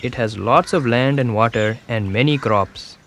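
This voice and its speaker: A man voicing a calm young schoolboy narrator. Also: mid-range voice, close to an everyday voice. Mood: earnest. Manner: calm, measured first-person storytelling. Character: young village schoolboy telling his story. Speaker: documentary narrator. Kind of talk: scripted documentary voice-over. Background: music.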